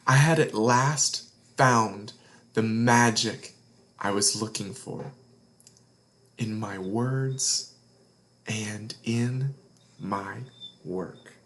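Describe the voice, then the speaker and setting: A man reading a children's story aloud as a read-along host, earnest and deliberate, with dramatic emphasis and hushed phrase endings. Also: lowish voice.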